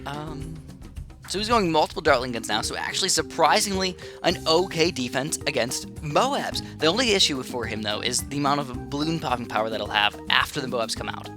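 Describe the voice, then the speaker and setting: Man, gameplay commentator, fast rambling chat, lots of fillers, clear game music behind. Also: a little high, lively intonation. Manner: rambling and chatty, many fillers and restarts. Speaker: gaming channel host. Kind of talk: casual live gameplay commentary. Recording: game music playing under the voice.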